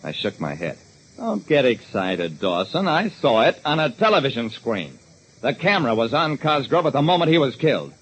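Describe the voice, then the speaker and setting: A man's voice: mid-range voice, sing-song, moderate pace, lightly acted, poor audio. An actor playing a terse, observant private detective, narrating his casebook in a clipped, dramatic 1940s radio style on a hissy old recording. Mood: terse, determined. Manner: dramatic, clipped hard-boiled storytelling.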